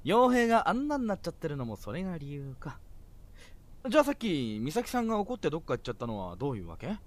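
A young man acting a breezy, easygoing, nosy older high school boy in an audio drama. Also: wildly swooping pitch, quick pace. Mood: calm, inquisitive.

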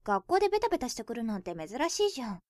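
Blunt high-voiced schoolgirl. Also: sing-song, very fast, darkish tone, no room sound, studio quality. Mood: brusque, scolding. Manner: brusque, scolding. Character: blunt, prickly high school girl with a boyfriend. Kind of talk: youth romantic comedy voice drama acting.

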